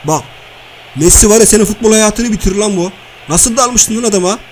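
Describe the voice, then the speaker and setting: A man playing a loud, crude, bickering comedy everyman who nags in slangy repeats during cartoon-style video-game banter. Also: high-pitched, a little fast, slight background noise, natural voice, poor audio. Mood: loud, outraged. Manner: slangy, blustering, nagging, repeats phrases. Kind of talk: comic video-game banter and match commentary.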